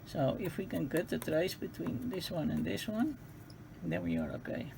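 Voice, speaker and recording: A man giving an informal hands-on technical tutorial, speaking haltingly as he searches for words. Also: on the high side, wildly swooping pitch, gravelly, background noise. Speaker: presenter of a radio repair tutorial.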